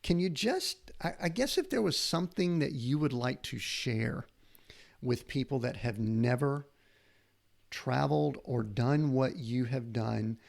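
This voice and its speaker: A middle-aged male host with a gravelly, fry-edged voice at an ordinary pitch. He talks in an earnest, meandering way, circling toward his questions. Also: lively intonation, a little slow, darkish tone. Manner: rambling, earnest, searches for words. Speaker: podcast host. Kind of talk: conversational interview.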